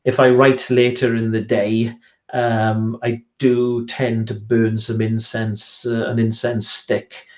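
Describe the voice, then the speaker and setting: A man's voice: mid-range voice, flat delivery, takes it slowly, darkish tone. A Welsh man, an author being interviewed on a video call, talking in a hesitant, self-deprecating way with many ums and restarts. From Wales. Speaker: author guest. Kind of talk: casual online chat interview.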